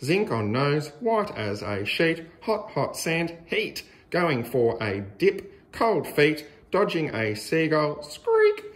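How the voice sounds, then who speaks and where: An Australian man reading a picture book aloud to young children in a deliberate, sing-song way with exaggerated emphasis. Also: fairly high pitch, huge pitch swings.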